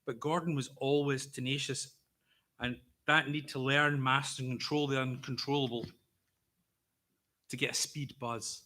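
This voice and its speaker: A Scottish man in his fifties giving a prepared eulogy for his brother, speaking slowly and carefully with a wry, gently humorous storytelling manner. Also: mid-range voice, fairly even intonation. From Scotland. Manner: deliberately slow, careful delivery. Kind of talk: prepared memorial speech.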